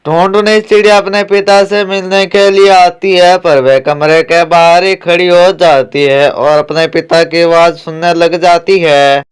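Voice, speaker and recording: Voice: mid-range voice, steady tone, unhurried, hardly any pauses, smooth. A narrator reading a scripted cartoon story.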